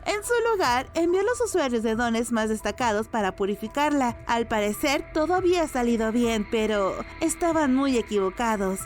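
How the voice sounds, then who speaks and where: A woman's voice: fairly high pitch, animated, normal speed, hardly any pauses, soft background music. A woman giving a scripted narration as the storyteller of a dramatic recap.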